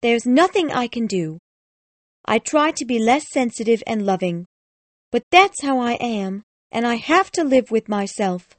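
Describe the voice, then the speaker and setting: A woman's voice: a little high, lively intonation, slow, no echo. A woman acts out a fawning relation in a classic storybook. She is plaintive and full of self-pity, putting on concern in a raised voice. The recording is close and dry. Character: fawning, self-pitying lady relation, falsely caring. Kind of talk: acted audiobook dialogue.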